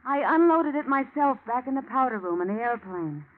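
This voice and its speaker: A woman's voice: sits high, lively intonation, fairly quick. An actress playing a composed, fearless female agent with arch, dry wit in a 1940s radio drama. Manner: composed, arch, dryly sarcastic. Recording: tinny, band-limited vintage radio recording.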